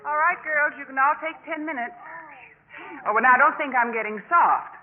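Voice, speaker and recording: An actress playing a bossy, snobbish young sorority leader in a 1950s radio drama, brisk and officious. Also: female voice, high voice, lightly acted. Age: young adult. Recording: tinny, noisy 1950s radio recording.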